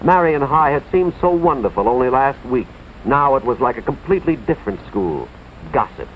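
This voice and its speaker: An American man playing an earnest, authoritative school official, narrating a cautionary tale in a deliberate, moralizing 1950s educational film style, with dramatic emphasis. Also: mid-range voice, lively intonation, slight background noise, lightly acted. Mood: sympathetic, grave. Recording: tinny, band-limited 1950s film soundtrack, music behind.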